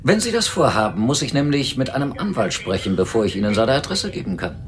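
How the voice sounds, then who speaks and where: An actor playing a wary, officious hospital clerk in a radio drama, long-winded, evasive and argumentative. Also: male voice, medium pitch, big pitch swings, fast, crowd noise. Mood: defensive. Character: stalling, officious male hospital personnel clerk, wary of lawsuits.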